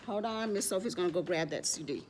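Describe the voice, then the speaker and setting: A woman's voice: fairly low pitch, fast talker, a little mellow, slight background noise. A woman hosting a children's storytime, playful and encouraging, speaking simply to little kids and often repeating short phrases.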